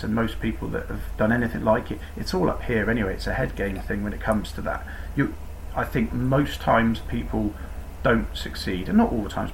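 A man's voice: mid-range voice, vocal fry, slight background noise, poor audio. A man from Cornwall, a podcast guest talking casually about cycling in a rambling, self-deprecating way with many restarts. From Cornwall, England. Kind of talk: casual interview chat.

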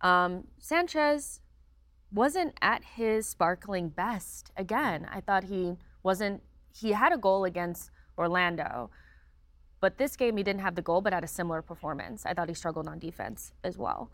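A woman giving casual sports commentary as a panelist, candid and measured in her judgments. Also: lowish voice, lively intonation, no echo. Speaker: sports show panelist and analyst.

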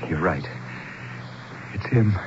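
A genial, excitable middle-aged man in a vintage radio play. His lowish voice swings with eager, hearty expression. There is clear broadcast noise around it. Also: takes it slowly. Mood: awed, delighted. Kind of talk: 1970s radio drama acting. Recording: dull, noisy 1970s radio broadcast.

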